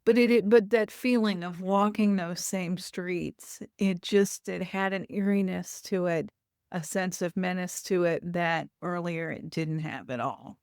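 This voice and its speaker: A woman in her sixties from Louisville in the United States, giving thoughtful, reflective interview answers and often pausing to rephrase. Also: lowish voice, a little mellow, smooth, dry recording, studio quality. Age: 60s. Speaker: author, interview guest.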